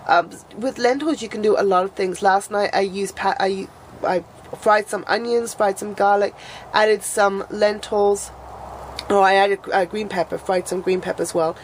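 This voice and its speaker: A woman's voice: mid-range voice, steady tone, moderate pace, slight background noise, low-quality recording. A woman vlogging in casual chat, her voice a bit nasal and sniffly from a cold, rambling and restarting her sentences.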